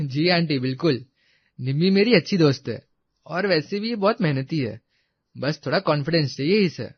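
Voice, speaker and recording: A man's voice: on the high side, lively intonation, normal speed, breathy edge, no room sound, highs cut off. A man playing a polite, earnest young college student in a story drama. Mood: modest, friendly. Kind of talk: acted Hindi audio story dialogue.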